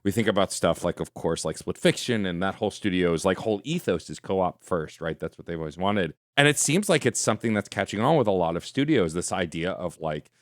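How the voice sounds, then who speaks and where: A man's voice: sits low, animated, brisk, few pauses, no room sound, studio quality. A man co-hosting a gaming podcast, enthusiastic and rambling, full of "like"s and laughs. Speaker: podcast co-host. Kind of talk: casual podcast chat.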